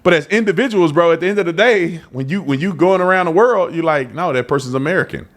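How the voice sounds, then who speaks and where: A man's voice: fairly high pitch, fast. A man hosting a casual commentary show, talking emphatically between clips and repeating himself as he argues his point. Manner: animated, emphatic, repeats phrases.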